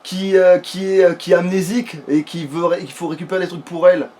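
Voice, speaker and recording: A man's voice: high voice, flat delivery, fairly quick, mellow. A man doing casual, rambling let's play commentary with a friend, swearing crudely as he goes. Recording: background noise.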